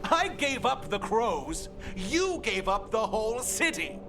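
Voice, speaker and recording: Treacherous governor, higher-pitched male voice, slow and theatrical, bitter, self-righteous, fantasy game drama, music behind. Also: very animated, exaggerated voice. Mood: bitter, accusing. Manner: theatrical, sarcastic, measured. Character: scheming, bitter traitorous city governor, self-righteous villain. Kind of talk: dramatic video game voice acting.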